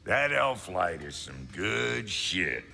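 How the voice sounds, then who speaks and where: Gruff cocky craftsman in a game, super gravelly, drawly slow, swears, blunt as hell. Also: low-pitched, big pitch swings, sharp tone, exaggerated voice. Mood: gruff, offhand. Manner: blunt, coarse, slangy. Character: gruff, foul-mouthed, cocky fantasy craftsman. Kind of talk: video game character acting.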